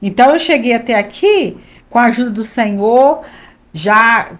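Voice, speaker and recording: A woman's voice: a little high, animated, normal speed. A woman in her fifties, a pastor's wife from Minas Gerais, telling her life story on a podcast in an earnest, emphatic way, often repeating phrases. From Minas Gerais, Brazil. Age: 50s. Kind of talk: conversational testimony.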